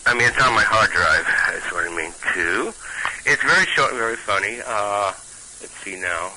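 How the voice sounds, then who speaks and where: A man with a Brooklyn accent, a storyteller and stand-up comic, chatting haltingly with many restarts and reading a comic tale aloud. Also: on the low side, expressive, noisy background.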